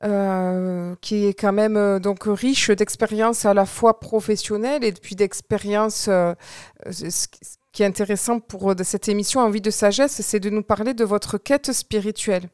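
A woman's voice: mid-range voice, steady tone, normal speed, darkish tone, clean tone, dry recording, studio quality. A woman hosting a radio interview show, friendly, with frequent fillers. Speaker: radio host.

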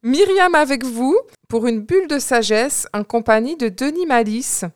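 A woman's voice: high voice, lively intonation, normal speed, no room sound, clean studio sound. A woman hosting a radio interview show, friendly, with frequent fillers. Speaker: radio host.

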